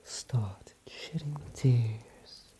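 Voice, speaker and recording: A woman softly narrating an ASMR bedtime story in a hushed, breathy whisper, with long pauses between short phrases. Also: extremely low pitch, a little hiss or hum. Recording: muffled sound with rain behind. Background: soft rain.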